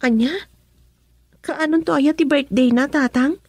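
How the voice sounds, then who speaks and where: High-voiced, inquisitive young daughter. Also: female voice, unhurried, vocal fry, darkish tone, no echo. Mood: curious. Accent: Filipino (Ilocano). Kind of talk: Ilocano radio drama acting.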